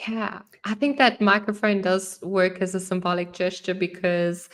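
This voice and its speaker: A woman's voice: mid-range voice, fairly even intonation, normal speed, fairly bright tone. A South African woman hosting a podcast in casual chat, chatty and laughing now and then. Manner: chatty, laughs often, fillers. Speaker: podcast host.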